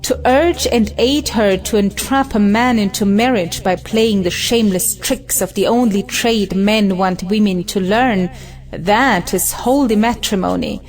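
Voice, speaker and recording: Actress as a slow-speaking, defiant young woman. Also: medium pitch, faint music behind, lightly acted. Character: defiant, idealistic, independent young woman in love. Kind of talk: audio play acting of an old stage drama.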